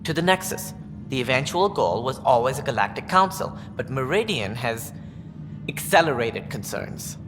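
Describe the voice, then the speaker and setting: An actor playing a stern, self-important alien politician in a video game, formal and argumentative. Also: male voice, medium pitch, lively intonation, normal speed, music in the background, natural voice. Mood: pompous. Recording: noisy, echoing hall, ambient sound.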